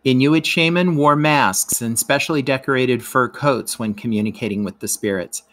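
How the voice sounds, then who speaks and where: A man's voice: medium pitch, normal speed, fairly bright tone. A man narrating a scripted educational lesson as a teacher reading aloud.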